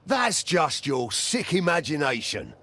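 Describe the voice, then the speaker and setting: Defiant, gravelly accused man. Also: a little high, big pitch swings, a little slow, sharp tone, heavily acted. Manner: defensive, scornful. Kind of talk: dramatic video game acting.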